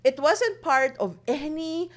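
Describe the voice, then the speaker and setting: A woman from Manila with a Filipino accent, a hotel manager being interviewed, telling stories in an animated way and often ending her points with "no?". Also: high-pitched, takes it slowly. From Manila, Philippines. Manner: animated storytelling, frequent tag "no?". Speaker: hotel general manager, guest. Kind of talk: conversational interview.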